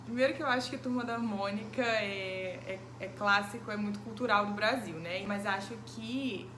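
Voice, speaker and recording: A woman's voice: medium pitch, normal speed. A woman, answering interview questions thoughtfully, with many hesitant fillers. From Brazil. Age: 20s. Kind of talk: casual recorded interview. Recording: echoey room with background noise.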